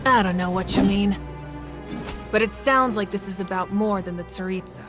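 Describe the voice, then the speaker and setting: An actress playing a proud, resolute female ruler in a video game, speaking in a measured, solemn and commanding way. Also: medium pitch, natural voice. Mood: defiant. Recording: music behind the voice.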